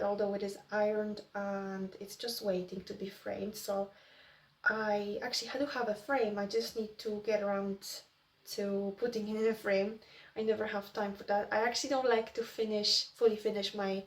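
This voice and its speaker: A woman's voice: mid-range voice, level tone, moderate pace, far from the mic. A woman in her early thirties from Poland, chatting casually to viewers as host of a craft vlog.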